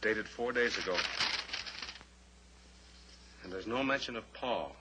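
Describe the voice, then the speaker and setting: An actor playing a steadfast, brave cowboy pilot in an old-time radio drama, firm and terse. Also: male voice, on the low side, slow. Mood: calm resolve. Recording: old radio recording with faint hiss.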